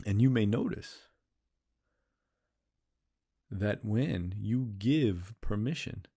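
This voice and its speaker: Slow, low-voiced man guiding meditation. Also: expressive, gravelly, mellow, breathy edge. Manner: slow, soothing, drawn-out phrases with repeated cues. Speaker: meditation guide. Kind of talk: guided meditation.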